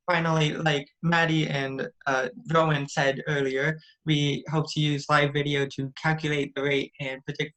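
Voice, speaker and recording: A man's voice: on the high side, a bit bright, low-quality recording. A young man giving a prepared student project presentation, occasionally stumbling and restarting on words.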